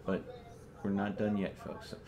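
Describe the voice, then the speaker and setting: A man's voice: fairly low pitch, moderate pace, a crowd in the background. A man hosting a live gaming stream, chatting casually as he plays. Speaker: video game streamer, host. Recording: clearly noisy home stream recording.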